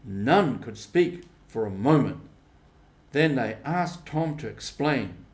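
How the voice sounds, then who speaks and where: A man narrates a storybook at a slow, measured pace. His mid-pitched voice places each short phrase deliberately, as an audiobook reader does. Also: expressive. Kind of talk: scripted storybook narration, simplified classic novel.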